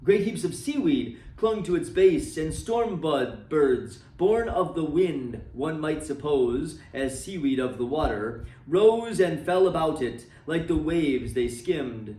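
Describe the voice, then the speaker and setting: A man reading a classic novel aloud for a library, dramatic and expressive, letting his voice sink low and soft at the ends of phrases. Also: takes it slowly, few pauses, echoey room, distant mic, poor audio.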